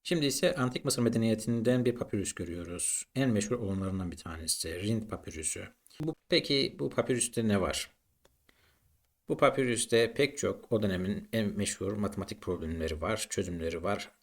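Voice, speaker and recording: Low-pitched man explaining like a teacher. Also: animated, brisk. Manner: didactic, poses questions then answers them. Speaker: maths teacher presenting. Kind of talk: explanatory lesson narration.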